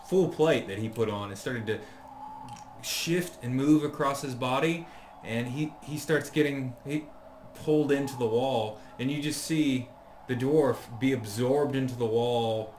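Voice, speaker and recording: A man running a tabletop game as dungeon master, narrating scenes in a halting way, with lots of pauses and "um"s. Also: medium pitch, roomy sound, slight background noise. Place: law firm conference room.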